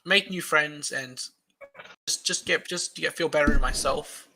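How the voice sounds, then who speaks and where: A man's voice: fairly high pitch, unhurried, sharp tone, a little hiss or hum, low-quality recording. An Australian man who is a guest on a podcast, talking casually and rambling through long explanations.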